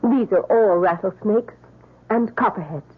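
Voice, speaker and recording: An actress playing an earnest, genteel older millionairess and kindly philanthropist in an old-time radio drama, speaking theatrically. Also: female voice, medium pitch, unhurried, husky. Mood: fond, proud. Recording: noisy, tinny old radio broadcast.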